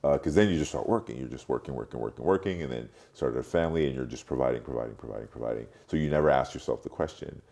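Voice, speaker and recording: A man in his late forties who grew up in Europe, speaking reflectively as an interview guest, haltingly, thinking aloud and repeating words. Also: very deep voice, gravelly, dark tone. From Europe, mostly France, born in Cameroon. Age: late 40s. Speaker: podcast guest, marketing strategist.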